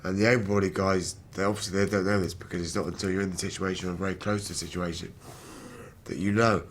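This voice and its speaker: Very low-voiced young British man, rough throat. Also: steady tone, quick pace. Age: 20s. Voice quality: damaged, rough voice from throat surgery. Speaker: wheelchair rugby player. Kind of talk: candid documentary interview. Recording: background noise on location.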